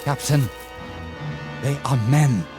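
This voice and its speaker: An actor playing a weary, troubled army lieutenant in a radio drama, speaking earnestly and pleadingly. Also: male voice, medium pitch, takes it slowly, natural voice. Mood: hesitant, earnest. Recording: dramatic music under the voice.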